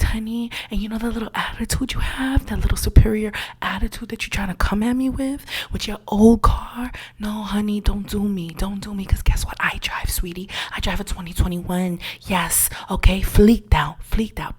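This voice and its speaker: A woman's voice: mid-range voice, breathy edge. A woman playing a condescending female insurance claims agent in an ASMR roleplay, whispering in a patronizing way and calling the listener sweetie and honey.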